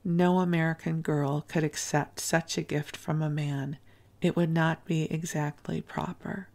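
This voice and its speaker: A woman reading the lines of a kindly, proper older American matron, gently scolding and emphatic. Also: deep voice, flat delivery, measured pace, gravelly.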